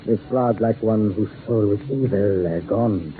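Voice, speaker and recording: Actor playing a solemn radio-drama mystic magician. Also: male voice, fairly low pitch, a little slow, close to an everyday voice. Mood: grave. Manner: grave, formal, incantatory. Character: solemn mystic magician who summons the dead. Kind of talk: 1940s radio horror acting. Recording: tinny, noisy 1940s radio recording.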